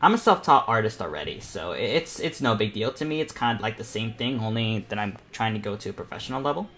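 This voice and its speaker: A man's voice: lowish voice, expressive, fast talker, fairly bright tone. An eighteen-year-old man hosts a painting panel, talking casually and informally, rambling and thinking aloud as he works. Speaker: self-taught artist hosting a painting panel. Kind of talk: casual live painting tutorial chat.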